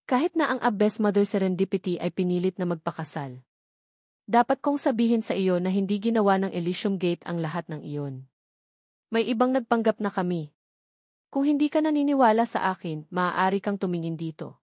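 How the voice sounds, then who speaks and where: A woman's voice: medium pitch, lively intonation, brisk, darkish tone, no room sound, studio quality, close to an everyday voice. A woman narrator voicing a loyal male sect general in a storybook, formal and respectful. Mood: firm, formal.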